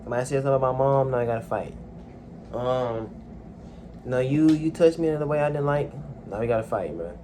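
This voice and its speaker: A young man speaks into a phone in a quiet room at home, his voice neither high nor low. He talks haltingly, trailing off and filling gaps with ums. A faint hiss sits under the recording. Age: young adult. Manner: soft-spoken, hesitant, many fillers. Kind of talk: casual personal monologue.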